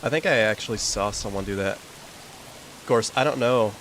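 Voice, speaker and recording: A man's voice: fairly low pitch, moderate pace, fairly bright tone, slight background noise. A young man streaming a game, chatting casually in a rambling way with many fillers. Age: young adult. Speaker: gaming streamer. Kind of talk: casual chat while playing a game.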